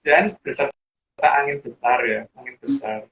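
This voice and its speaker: A man's voice: a little high, very animated, a little slow, sharp tone. A man, explaining in casual chat and rambling through restarts and fillers. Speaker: guest explaining teachings. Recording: dull lo-fi audio with faint hiss.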